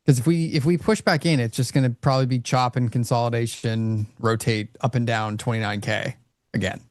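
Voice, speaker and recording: A man's voice: mid-range voice, steady tone, brisk, dry recording, studio quality. A man casually talking through his trades on a live stream, rambling with lots of fillers. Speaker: trader host. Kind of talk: live trading commentary.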